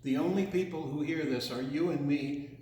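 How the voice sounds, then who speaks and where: A man's voice: on the high side, normal speed, dark tone. A man reading a story aloud to children in a theatrical, playful way, drawing out his words and adding asides. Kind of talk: animated read-aloud storytime with asides and sound imitations. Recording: very echoey room.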